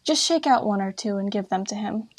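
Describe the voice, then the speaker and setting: Husky, earnest healer she-cat, acted by a woman. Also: medium pitch, lively intonation, a little fast, natural voice. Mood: uneasy, caring. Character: female medicine cat, uneasy, mystical, caring healer. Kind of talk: acted fan audiobook dub.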